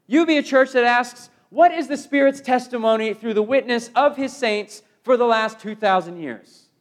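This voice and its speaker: American male preacher, middle-aged, high voice, emphatic sermon delivery, repeated phrases, wide swings in volume. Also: moderate pace. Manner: swings from loud proclamation to hushed phrases.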